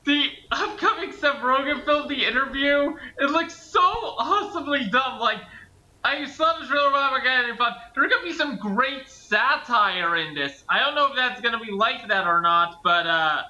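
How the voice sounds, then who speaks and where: Very high, piercingly bright-voiced man. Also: animated, moderate pace, dull audio. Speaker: co-commentator. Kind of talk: casual rambling commentary.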